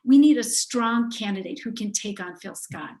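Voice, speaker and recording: A woman's voice: a little high, moderate pace, darkish tone. A middle-aged woman, a candidate for governor, giving an earnest, emphatic prepared statement at a forum. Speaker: gubernatorial candidate.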